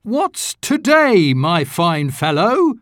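A giddy old miser bursting with joy, as a storyteller puts him on. The voice is lifted high above the reader's own, and it leaps about with excitement in a dry, close recording. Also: unhurried, dry recording. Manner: excited, exclaiming.

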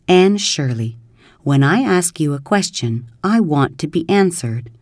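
A woman voicing a stern, no-nonsense older spinster who scolds briskly. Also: sits low, animated, darkish tone, dry recording, studio quality. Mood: scolding.

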